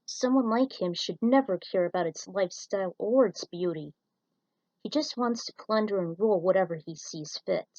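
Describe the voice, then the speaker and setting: A woman's voice: mid-range voice, moderate pace, darkish tone, no echo, far from the mic, highs cut off, natural voice. A woman acting out a stern woman pilot from a sci-fi storybook. She explains things firmly to her cadets, in a mid-range voice with a dry sound. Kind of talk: story character dialogue.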